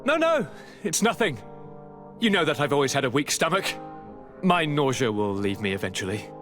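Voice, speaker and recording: A young aristocrat of a fantasy game, breezy, affectionate and theatrical. His fairly high voice swings dramatically up and down through each polite phrase. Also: very animated, normal speed, a bit bright, faint music behind. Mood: breezy, dismissive. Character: young noble male governor, affectionate, flippant, ailing. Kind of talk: fantasy video game acting.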